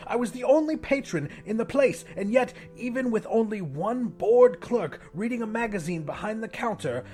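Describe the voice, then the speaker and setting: A man narrating an audiobook as a pompous, depraved widowed English professor in his sixties, with an ornate, theatrical delivery. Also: sits high. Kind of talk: dramatic first-person audiobook narration.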